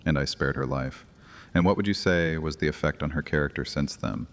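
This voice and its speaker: An actor playing an ancient, imperious vampire high priest in a horror audio drama, commanding, mocking and theatrical. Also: male voice, brisk. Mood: mocking menace. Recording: long cavernous reverb, faint music underneath.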